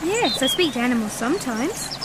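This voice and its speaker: A woman's voice: sits high, big pitch swings, a little fast, very noisy. An actress playing a sweet, naive fairy-tale girl in a comic radio play, prim and storybook-polite. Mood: polite, plain.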